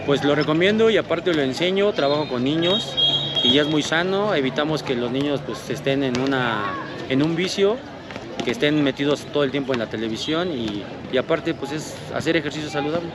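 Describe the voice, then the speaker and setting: A man, a children's basketball coach, answering in a relaxed way. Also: fairly high pitch, fairly quick, a crowd in the background. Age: 40s. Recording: loud outdoor street noise.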